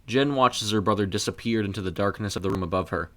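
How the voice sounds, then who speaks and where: Male narrator, lowish pitch, scripted audio fiction, third-person storytelling. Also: fast talker, fairly bright tone.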